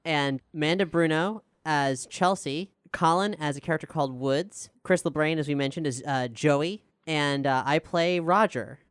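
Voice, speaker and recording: Low-voiced woman hosting a casual panel. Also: normal speed, hardly any pauses, smooth, no room sound. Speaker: cast member and panel host.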